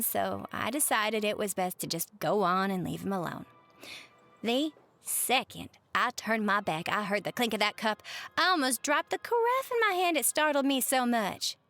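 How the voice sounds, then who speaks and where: A woman playing a cheerful, chatty waitress on a diner night shift in a roleplay audio, with a Southern US accent and a folksy, expressive manner. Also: on the high side, vocal fry, full character voice. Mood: storytelling, intrigued. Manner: folksy, expressive, uses pet names. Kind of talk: roleplay monologue to the listener, chatty storytelling.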